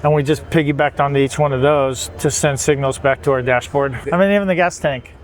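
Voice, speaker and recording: A man who built the vehicle, explaining the technical work in a relaxed, chatty way. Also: on the high side, a little fast. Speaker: vehicle builder being interviewed. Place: outdoors at a trade show.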